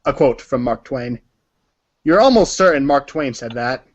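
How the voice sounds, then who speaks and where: A man's voice: medium pitch, expressive, normal speed, dull audio. A man narrating a story aloud in a theatrical, dramatic and emphatic manner. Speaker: narrator of a group reading.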